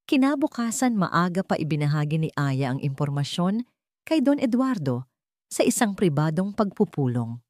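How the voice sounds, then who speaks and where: Low-voiced Filipino woman narrating with lively pitch. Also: normal speed, dry recording, studio quality. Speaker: storyteller. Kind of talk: scripted dramatic audio story narration.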